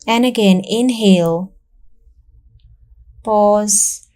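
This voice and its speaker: Female meditation guide. Calm, soothing narration, slow delivery, warm dark tone, generous pauses. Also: medium pitch. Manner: calm, soothing, measured instruction with long pauses.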